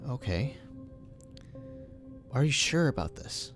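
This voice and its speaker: An actor playing a calm, measured Republic official who speaks in a dry, even way. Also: male voice, mid-range voice, over music. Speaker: official, son of the corporate boss. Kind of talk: fan audio drama acting.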